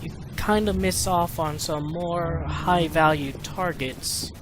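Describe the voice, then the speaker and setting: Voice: medium pitch, fairly even intonation, takes it slowly. A person giving casual commentary and walkthrough on a video game they are playing. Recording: game music behind the voice. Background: video game music and sounds.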